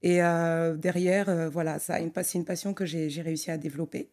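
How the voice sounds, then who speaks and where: A young adult woman who grew up in Togo, an architect talking through her career as a podcast guest, thoughtful and full of pauses and restarts. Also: deep voice, steady tone, darkish tone. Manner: thoughtful, many fillers and restarts. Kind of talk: conversational interview.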